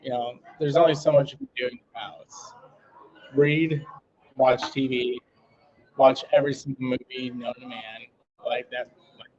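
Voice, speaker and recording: A man in his thirties, a chef guest, talking casually and relaxed with many fillers. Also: mid-range voice, moderate pace. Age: 30s. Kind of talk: casual interview chat. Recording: muffled lo-fi audio.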